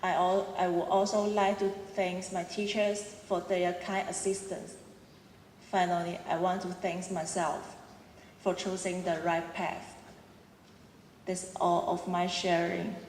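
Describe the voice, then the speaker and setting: A woman's voice: on the low side, little pitch movement, measured pace, a little mellow, slight background noise. Young woman with a Cantonese accent. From Hong Kong. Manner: earnest, reading with small restarts. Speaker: student speaker. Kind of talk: prepared ceremony speech. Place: large auditorium. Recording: echoey hall, roomy reverb.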